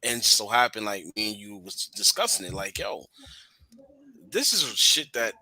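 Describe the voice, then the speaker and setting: A man's voice: lowish voice, big pitch swings, normal speed, pushed and bright, rough recording. A man chatting on a podcast in a laid-back, slangy way and swearing casually.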